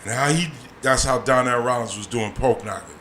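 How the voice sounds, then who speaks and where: A man telling a profane comedy story on a podcast, loud and animated, slipping into voices of the people in it. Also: mid-range voice, a little fast. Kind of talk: profane storytelling, casual chat. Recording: echoey room with faint noise.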